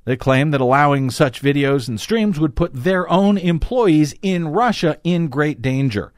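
A man presents news commentary as a talk show host. His voice sits a little high and swings widely in pitch as he emphatically sets up and reads quotations. The sound is dry and close, with very little room. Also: animated, unhurried, dry recording. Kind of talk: news commentary, reading article excerpts aloud with asides.

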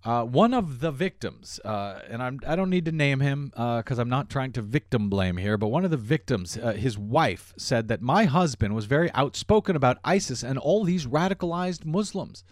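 A man's voice: medium pitch, lively intonation, normal speed, hardly any pauses, no room sound, studio quality. A man hosting a political talk radio show, delivering a monologue in a sardonic tone with frequent fillers. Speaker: radio host.

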